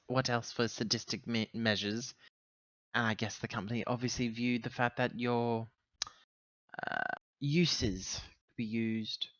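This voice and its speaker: A man with a sharp, bright voice plays through a tabletop roleplaying scene. He talks in halting, improvised phrases, feeling his way through sentences. The sound is very dry and a little dull. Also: mid-range voice, no room sound. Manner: halting, hesitant phrasing with pauses. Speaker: tabletop roleplaying game player. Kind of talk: improvised in-character roleplay talk.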